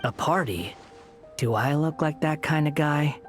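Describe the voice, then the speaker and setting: Actor playing a drawling, easygoing wry fellow, faint game music behind. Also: male voice, on the high side, a little slow, close to an everyday voice. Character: laid-back, wry male helper who shrugs off thanks. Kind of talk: video game voice acting.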